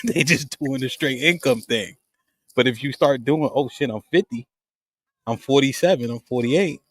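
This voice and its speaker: A man's voice: mid-range voice, no echo. Dry-miked man steering a group chat. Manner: steers the talk, cuts in to make his point. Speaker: host. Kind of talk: casual group talk.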